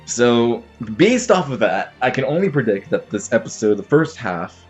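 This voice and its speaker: A young man with a slightly stuffy, sniffly voice from a cold, hosting an anime reaction with rambling, excitable commentary full of fillers and restarts. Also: mid-range voice, normal speed, muffled recording, faint music behind.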